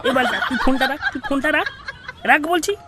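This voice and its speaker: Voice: high-pitched, fast, very noisy, close to an everyday voice. A performer voicing a brash, scheming young male prankster in a comedy cartoon, bossy, teasing and slangy.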